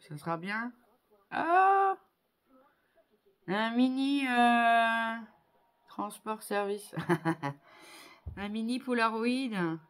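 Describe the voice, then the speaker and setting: Craft vlogger, woman in her fifties, mid-pitched and unhurried, gushing thanks in casual, halting phrases. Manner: effusive, appreciative, exclamatory. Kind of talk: casual unboxing chat to viewers. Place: indoor home craft room. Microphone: phone on a tripod at arm's length.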